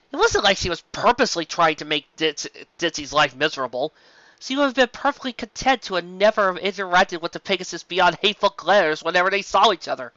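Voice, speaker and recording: A woman reading a story aloud as its narrator, in an expressive scripted style. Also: sits low, no room sound, muffled recording.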